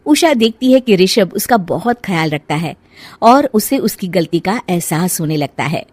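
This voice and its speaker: A woman's voice: fairly low pitch, expressive, normal speed, a little mellow, no echo. A woman storyteller reading scripted narration for a cartoon family story.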